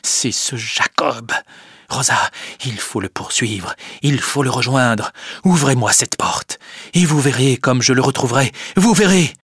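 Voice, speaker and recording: A man's voice: mid-range voice, takes it slowly, pushed and bright, slightly rough, no echo, studio quality, full character voice. A man voicing a passionate, desperate, hot-headed prisoner in a storybook reading. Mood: furious, desperate. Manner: intense, exclaiming.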